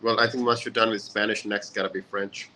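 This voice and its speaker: A man hosting a casual online lesson, relaxed and chatty, with many fillers. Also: fairly low pitch, a little fast. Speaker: session host. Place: hotel in a city abroad.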